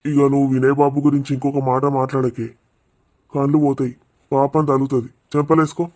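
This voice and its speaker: A man's voice: mid-range voice, level tone, fairly quick, a little mellow, natural voice. A man playing an exasperated middle-aged father in a cartoon. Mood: exasperated, scolding. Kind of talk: animated cartoon dialogue.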